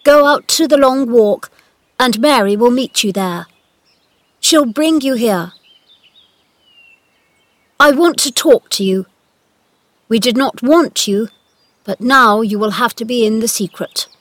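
A high-voiced young boy from a storybook, played by a woman, frail yet bossy. He is high-strung and commands with great emphasis. The recording is dry and close. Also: slow pace, pauses often, no room sound. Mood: imperious. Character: frail but imperious, excitable young boy.

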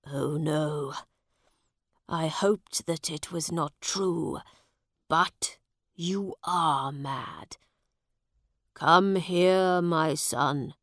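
A woman putting on an ailing old king, his voice low, gravelly and unhurried. He speaks gently and wearily from his sickbed in a storybook tale, heard in a dry, close recording. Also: dry recording, heavily acted. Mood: weary. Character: old, sick, sad English king. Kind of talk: storybook reading.

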